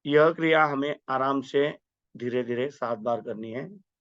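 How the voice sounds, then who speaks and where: A man's voice: mid-range voice, measured pace, fairly bright tone, no echo, dull audio. A man leading a guided meditation with prayers, speaking in a reverent, instructional manner.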